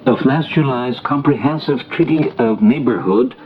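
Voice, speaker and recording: A man reading a formal, scripted international news bulletin as a radio newsreader, in a measured delivery. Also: medium pitch. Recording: noisy, muffled shortwave radio broadcast recording.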